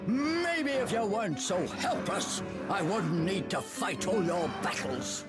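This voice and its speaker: High, swooping, short-tempered demon. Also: male voice, animated, takes it slowly, loud music behind the voice. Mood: scornful, angry. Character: proud, short-tempered male demon creature, scornful and bullying. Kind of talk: video game cutscene acting.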